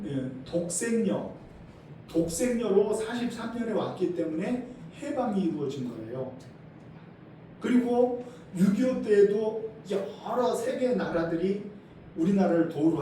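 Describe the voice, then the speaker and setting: A middle-aged Korean man, a senior pastor, preaching a sermon, asking the congregation questions and swinging between loud emphasis and quiet asides. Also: a little high. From South Korea. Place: church sanctuary. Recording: echoey hall from far off, faint noise.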